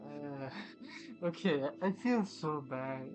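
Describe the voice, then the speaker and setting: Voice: mid-range voice, lively intonation, slow. A gamer giving casual gameplay commentary in short, muttered reactions. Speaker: gaming host playing and reacting. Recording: loud game music over commentary.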